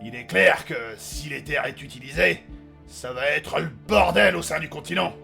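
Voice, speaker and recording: A man's voice: fairly high pitch, moderate pace, vocal fry, pushed and bright, soft background music, exaggerated voice. A man playing a crude, grumpy elf sailor captain in a French comedic fantasy audio drama, blunt and slangy. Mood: wry, knowing. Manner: blunt, slangy, hesitant delivery.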